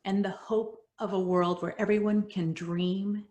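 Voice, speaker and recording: A middle-aged woman whose first language is Spanish, reading her personal story in an earnest, measured way that drops softly at the ends of lines. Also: medium pitch, flat delivery. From United States. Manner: earnest, measured, dropping soft at line ends. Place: home, virtual event.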